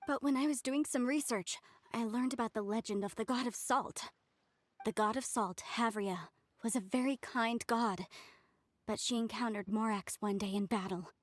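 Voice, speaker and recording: A woman's voice: on the high side, moderate pace, no room sound, close to an everyday voice. A woman voicing an earnest, inquisitive young scholar in a video game, formal and articulate. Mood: intent.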